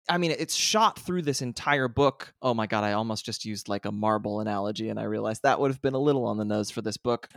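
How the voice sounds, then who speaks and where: A man hosting a book interview at an ordinary mid pitch. He frames long, enthusiastic questions, correcting himself and circling back as he goes. The sound is dry and close with no room around it. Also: creaky, no echo. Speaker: podcast host.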